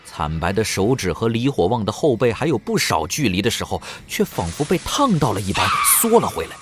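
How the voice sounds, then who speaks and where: A man's voice: on the low side, sing-song, brisk, slight background noise. A man narrating an audio drama in a suspenseful, dramatic scripted storytelling style.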